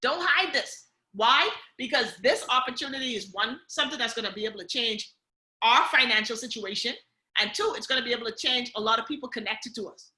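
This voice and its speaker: A woman's voice: on the high side, fairly quick, sharp tone, roomy sound. Upbeat Bahamian woman coaching a team. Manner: upbeat, coaching, repeats key points, frequent "okay?" and "guys". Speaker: team leader running a training call. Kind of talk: motivational training call.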